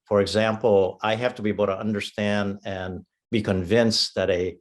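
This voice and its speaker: Low-voiced man presenting at a webinar. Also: flat delivery, moderate pace. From Vietnam. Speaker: prize council member, presenter.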